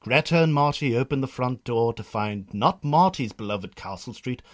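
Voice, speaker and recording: A man's voice: mid-range voice, animated, moderate pace. A man with a Northern Irish accent reading scripted narration as the narrator of a story.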